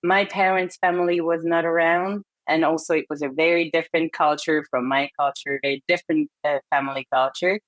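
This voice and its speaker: A woman's voice: low voice, fairly even intonation, brisk, hardly any pauses, no echo. A woman in her thirties from Hungary, speaking with a Hungarian accent as a podcast guest, candid and reflective with hesitant fillers. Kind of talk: casual interview chat.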